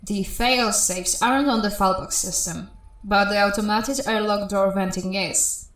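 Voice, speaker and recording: A woman's voice: mid-range voice, fairly even intonation, a little slow, fairly bright tone, reverberant, lightly acted. A woman playing an urgent, exasperated spaceship systems technician in a farcical audio comedy. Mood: anxious, stern.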